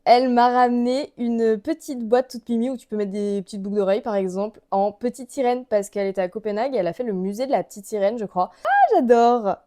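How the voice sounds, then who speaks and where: A young woman talks to her vlog viewers in a fairly high voice. She rambles casually and uses plenty of slang, and the sound is dry with little room echo. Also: expressive, quick pace, hardly any pauses, warm tone. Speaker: vlogger.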